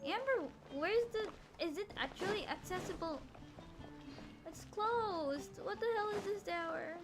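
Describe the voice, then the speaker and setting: A woman casually commentating as she livestreams a game, playful, slangy and quick to react. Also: extremely high pitch, expressive. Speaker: game streamer. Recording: game music under the voice.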